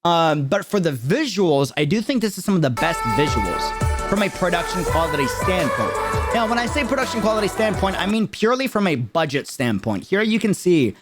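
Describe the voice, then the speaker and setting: A man's voice: sits high, very animated, moderate pace, over loud music. A man giving casual, emphatic livestream commentary, chatting to viewers in slang and repeating words for stress. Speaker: streamer reacting to a game video.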